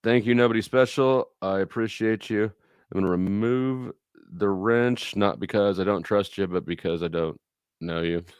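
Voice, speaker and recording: A man with a fairly low voice talks casually as a livestream host. He rambles in a blunt, salty, anecdotal way, and the sound is dry and close with little room in it. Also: normal speed, clean tone, no room sound. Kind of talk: casual livestream chat with viewers.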